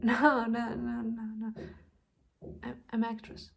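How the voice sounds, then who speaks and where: A woman playing a distraught, exhausted young actress in a theatrical stage monologue, halting and repeating herself, breathy and shifting between hushed and loud delivery. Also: fairly high pitch, monotone, slight background noise. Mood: weary, dazed.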